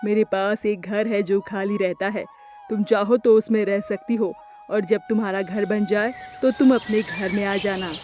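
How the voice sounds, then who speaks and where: A woman's voice: mid-range voice, fairly even intonation, moderate pace, music in the background, natural voice. A woman voicing a kindly, helpful male pigeon in a children's cartoon, reassuring and generous in manner.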